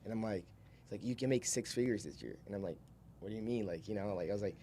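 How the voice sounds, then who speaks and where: A young man with a fairly low voice tells stories as a podcast guest. He talks informally and wanders between thoughts. There is faint noise behind him. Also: quick pace, dark tone. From Brazil. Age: 20s. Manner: informal, rambling, chuckles while talking. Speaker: podcast guest, solar salesman. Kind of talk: casual storytelling in an interview.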